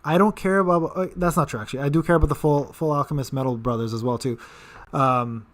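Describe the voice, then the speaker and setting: A man's voice: fairly high pitch, fairly even intonation, fast talker, fairly bright tone. A man in his thirties hosting a casual live-stream Q&A, rambling with repeated words and fillers. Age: 30s. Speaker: gaming channel host.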